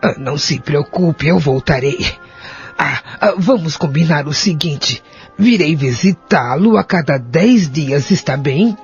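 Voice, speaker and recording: A man playing a gentle, wise woman in an audio drama, reassuring and softly preaching. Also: fairly high pitch, lively intonation, unhurried. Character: gentle, wise woman consoling an elderly friend. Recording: dull lo-fi audio, faint music behind.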